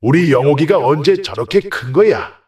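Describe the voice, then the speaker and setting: A man's voice: a little high, lively intonation, normal speed, a little mellow, husky, no room sound. A man playing a shrewd, proud merchant father in an old folktale, with old-fashioned, authoritative speech. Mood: proud, delighted. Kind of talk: acted folktale dialogue.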